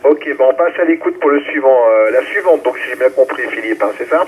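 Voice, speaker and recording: A husky-voiced man acts as controller on an amateur radio net. He hands over and checks call signs in an informal way. The sound is thin and muffled, squeezed through a radio relay. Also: medium pitch, fairly quick. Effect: ham radio transmission. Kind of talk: running a ham radio round-table, call signs. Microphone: radio transceiver microphone.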